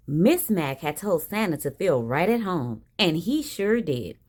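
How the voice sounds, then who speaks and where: A woman reading a children's picture book aloud in an expressive style with dramatic emphasis. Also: fairly low pitch, a little slow. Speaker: storybook reader. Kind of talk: animated children's read-aloud.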